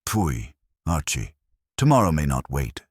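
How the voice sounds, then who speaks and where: A man's voice: extremely low pitch, huge pitch swings, measured pace, husky, no echo, clean studio sound, exaggerated voice. A man voicing an imperious older genius detective with a pompous, terse, oracular manner in an audiobook mystery. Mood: grave, dismissive.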